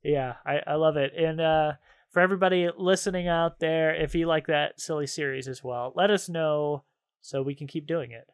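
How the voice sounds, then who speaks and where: A man's voice: a little high, steady tone, moderate pace, clear, resonant tone. A man hosting a podcast in casual chat, playful and jokey, reading out trivia cards and often amused. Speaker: podcast host and quizmaster.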